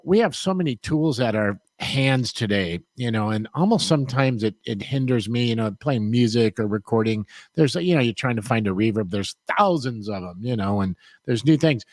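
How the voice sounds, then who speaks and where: A man's voice: mid-range voice, darkish tone, dry recording. An older man hosting a podcast, telling rambling, laid-back stories with lots of fillers. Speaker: podcast host. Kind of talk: casual interview chat.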